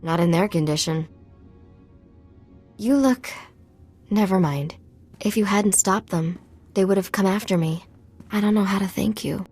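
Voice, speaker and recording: An actress playing an earnest, resourceful informant in a video game, speaking in short, clipped sentences. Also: female voice, medium pitch, a little slow, pauses often, darkish tone, husky, soft background music. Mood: relieved, awkward.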